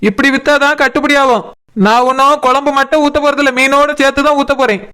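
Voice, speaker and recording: A cheeky, stubborn cartoon stall seller with a Kanyakumari Tamil accent. The voice is extremely high and bright, rattling out emphatic sales patter. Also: fairly even intonation, fast. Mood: defiant. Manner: hawking, bargaining, emphatic. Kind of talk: comic cartoon dialogue.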